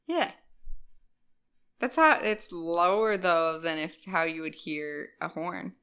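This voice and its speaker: A woman co-hosting a relaxed podcast chat, talking in a slightly low voice. She fills her sentences with "like" and jumps into loud, animated bursts. The talk is loose and unscripted. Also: takes it slowly, clear, resonant tone. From Rhode Island. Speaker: podcast co-host. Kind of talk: casual chat.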